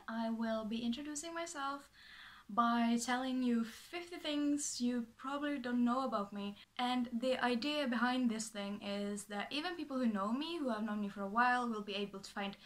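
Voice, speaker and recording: A woman's voice: fairly high pitch, fairly bright tone. A young woman from Finland with a Finland Swedish accent, native speaker of Swedish, chatting to camera in her small bedroom from a script, bubbly and full of fillers and restarts. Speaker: vlogger. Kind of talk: casual talking to camera, reading from a script.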